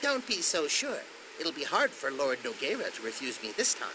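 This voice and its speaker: A eager young male scholar from a fantasy game, self-assured and bossy. His delivery swings widely in pitch and is brisk. There is clear noise behind him. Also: huge pitch swings. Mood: confident. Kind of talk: video game voice acting.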